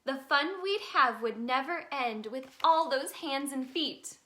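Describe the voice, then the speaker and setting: A woman reading a children's story aloud in an expressive, sing-song way for young listeners. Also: sits high, a little slow. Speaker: children's storybook reader, in a tooth fairy persona.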